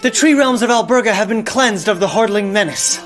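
An actor playing a naive, earnest young knight in a comic video game, formal and boyish. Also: male voice, high voice, a little fast, fairly bright tone, soft background music. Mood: proud, earnest. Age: young adult.

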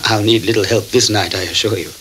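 A low-voiced, courteous gentleman traveler played by a man in an audio drama. He speaks formally and with measured care, eager and businesslike. The old cassette recording has a faint hiss. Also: fairly even intonation, lightly acted. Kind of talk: radio drama acting with first-person narration. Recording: hissy 1979 cassette tape recording.

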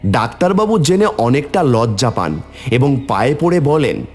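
A man narrates a scripted tale with lively, swooping intonation. His voice is husky and sits at a middle pitch. There is faint music underneath. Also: moderate pace. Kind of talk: scripted dramatic storytelling.

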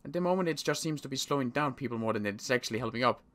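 A man playing a worried zombie apocalypse survivor in an improvised game roleplay, muttering to himself and repeating words haltingly. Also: medium pitch, expressive, fairly bright tone, natural voice.

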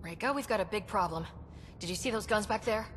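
A woman's voice: mid-range voice, fairly quick, a little hiss or hum, lightly acted. An actress playing a defiant young woman who leads space explorers in a game, speaking in a direct, wry way. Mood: urgent, focused. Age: young adult. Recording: music underneath.

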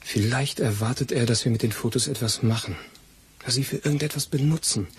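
An actor playing an eager, loyal police assistant in a crime radio play, arguing colloquially. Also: male voice, medium pitch, brisk, a little hiss or hum, lightly acted. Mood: eager, deducing. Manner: colloquial, argumentative.

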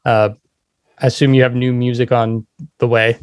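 A man with a medium-pitched voice hosts a podcast interview. He talks casually and conversationally, in a very dry recording with little room sound. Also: level tone, moderate pace, clean tone, dry recording. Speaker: podcast host.

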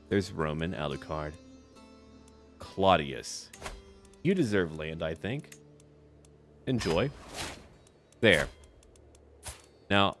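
A man's voice: low-pitched, a bit bright. A man giving casual video game commentary, musing aloud and addressing the characters as he plays. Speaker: gaming video narrator. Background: background music.

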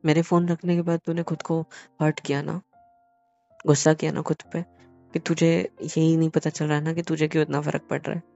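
A man's voice: on the high side, monotone, fairly quick, creaky, darkish tone. A young man playing a teasing male best friend who keeps questioning insistently in a phone-call drama. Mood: teasing, exasperated. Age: young adult. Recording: muffled lo-fi audio under music.

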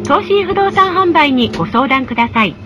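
A woman with a high, very melodic voice delivers short scripted adverts. Her manner is polite and polished, like a sales announcement. Clear vehicle noise runs behind her, as if recorded inside a tram. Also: animated, moderate pace, dull audio. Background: tram running noise.

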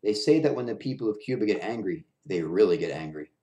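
Man playing worried, gloomy low-voiced hobo clown. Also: expressive, fast, mellow, lightly acted. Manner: downcast, doubting. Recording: roomy echo of a bare room.

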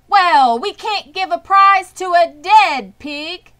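A woman's voice: very high voice, slow pace, a bit bright, pitched above the performer's own voice, exaggerated voice. A woman voicing a pompous male fairground announcer making formal, declamatory storybook proclamations. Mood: loud, concerned.

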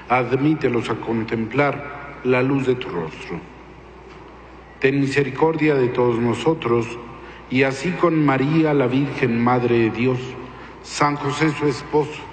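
A male priest prays in a calm, even voice at a middling pitch. The recording is roomy, with a long reverb tail and faint background noise. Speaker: concelebrating priest. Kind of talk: liturgical prayer at Mass. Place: large church.

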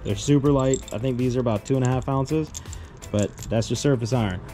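A man's voice: mid-range voice, a little fast. Laid-back man over music. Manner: laid-back, joking, loud and animated. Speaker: fishing vlogger. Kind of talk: casual show-and-tell vlog. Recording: music playing under voice. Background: background music.

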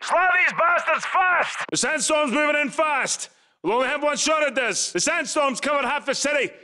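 Game casting: Scottish special forces soldier, commanding and tough, forceful edge, clipped combat delivery. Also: male voice, extremely high pitch, lively intonation, moderate pace, no echo, full character voice. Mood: urgent, shouting. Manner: clipped, terse radio-style military callouts. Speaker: video game squad leader. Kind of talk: military shooter game battle chatter.

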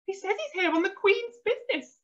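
Woman putting on a squeaky, frantic, bossy storybook mum. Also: big pitch swings, moderate pace, dull audio. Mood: excited. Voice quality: squeaky, shrill. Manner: frantic, scolding, exclaiming. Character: excitable, bossy, royal-obsessed mum, squealing and fussing. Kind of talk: children's storybook character voice.

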